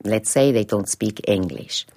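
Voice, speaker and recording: Swiss woman interviewee, deep voice, slow and hesitant delivery, radio interview. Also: lively intonation, warm tone. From Switzerland. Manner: hesitant, many fillers, thoughtful. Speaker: interviewee, head of a language department.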